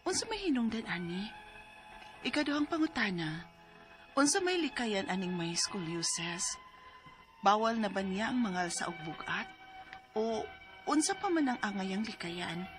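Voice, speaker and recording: A woman's voice: mid-range voice, big pitch swings, moderate pace, pauses often, close to an everyday voice. Actress as a worried, plaintive Cebuano mother, music behind. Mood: worried pleading. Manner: plaintive, sighing exclamations. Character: worried, poor mother of an ailing college student. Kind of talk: radio drama acting and letter narration.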